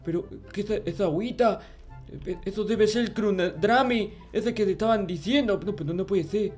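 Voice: medium pitch, a little fast, darkish tone, natural voice. A performer playing a naive, stubborn, suspicious little kid in an improvised Minecraft roleplay, whiny and stammering, repeating words. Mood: suspicious, grumbling. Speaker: gaming video host. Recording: music under the voice. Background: music.

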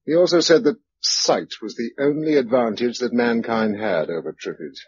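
An actor playing a thoughtful, level-headed survivor in a British radio drama, speaking in a clipped, understated way with a British accent. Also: male voice, medium pitch, normal speed, a little hiss or hum, lightly acted. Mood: grave. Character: terse, thoughtful, level-headed male survivor narrator-hero. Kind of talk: mid-century British radio drama acting. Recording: dull, lo-fi old radio recording.

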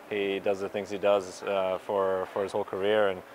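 Low, flat-voiced young man in a postgame scrum. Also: moderate pace, slight background noise, poor audio. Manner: hesitant, many fillers and restarts. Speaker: pro soccer player. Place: stadium media area in front of sponsor backdrop. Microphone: reporters' handheld mics and recorders held close.

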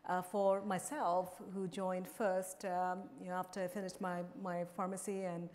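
A woman's voice: on the low side, flat delivery, darkish tone, a little hiss or hum. Middle-aged woman from India, executive panelist, lapel mic on a big stage, long hall reverb. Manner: measured, with frequent fillers and restarts. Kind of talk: conference panel talk. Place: large conference stage.

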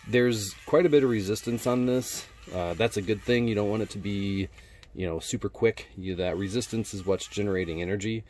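A man's voice: fairly low pitch, fairly quick, a little mellow. A man doing a casual, laid-back unboxing narration as a product reviewer, speaking in colloquial language. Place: indoors at a wooden workbench.

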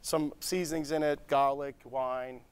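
Chef explaining a dish, easygoing. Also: male voice, mid-range voice, steady tone, measured pace, clear, resonant tone. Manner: easygoing, explains step by step with frequent "okay?". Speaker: restaurant chef. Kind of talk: cooking demonstration. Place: TV studio kitchen set.

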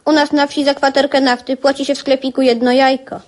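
A woman's voice: high voice, level tone, a little fast, a little mellow, natural voice. A woman playing a shy, plain-spoken poor village schoolboy in a radio drama, speaking simply. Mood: plain, matter-of-fact.

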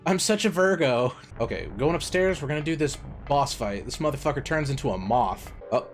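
A young man live-streaming a horror game on a headset mic, joking and cursing, with loud excited reactions. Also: a little high, a little fast, soft background music. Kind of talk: casual live-stream commentary.

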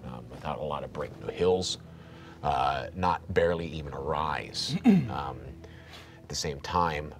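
A man in his thirties, a game master improvising descriptive horror narration with dramatic build-ups and pauses. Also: extremely low pitch, fairly bright tone, slight background noise. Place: indoor studio set dressed as a bunker.

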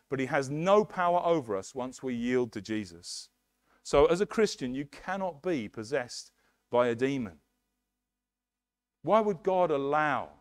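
A man's voice: mid-range voice, studio quality. A middle-aged man with an English accent preaching a sermon earnestly at a lectern in a church hall, on a headset mic. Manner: earnest, emphatic preaching with hand gestures at a lectern. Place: church hall, medium size, indoors.

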